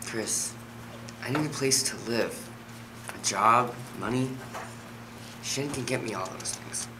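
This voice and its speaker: A man's voice: mid-range voice, slow, noisy background, natural voice. A man playing a guarded, troubled young student with dry humour in a script table reading. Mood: earnest, pleading.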